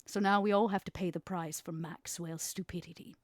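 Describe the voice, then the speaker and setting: A woman playing an imperious, ruthless female vampire schemer in a tabletop roleplay, speaking deliberately with dramatic pauses. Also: on the low side, fairly even intonation, dry recording, clean studio sound, lightly acted. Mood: contemptuous, vengeful. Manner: deliberate, dramatic pauses, stressed key words. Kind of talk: in-character tabletop roleplay acting.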